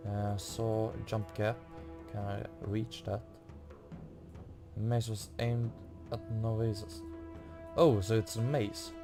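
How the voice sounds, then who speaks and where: A man giving casual commentary as he plays a video game, haltingly thinking aloud. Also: on the low side, flat delivery, over music. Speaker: video game player making a playthrough.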